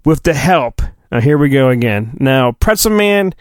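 A man's voice: mid-range voice, animated, moderate pace, no echo, clean studio sound. A man hosting a podcast, casual and self-deprecating, reading a children's storybook aloud in a playful mock-dramatic way. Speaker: podcast host.

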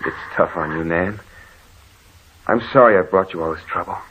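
An actor playing a concerned, steady brother in an old radio horror drama, trying to reason and reassure. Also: male voice, sits low, normal speed, noisy background, natural voice. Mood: subdued, reassuring. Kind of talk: vintage radio horror acting. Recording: dull old-time radio recording.

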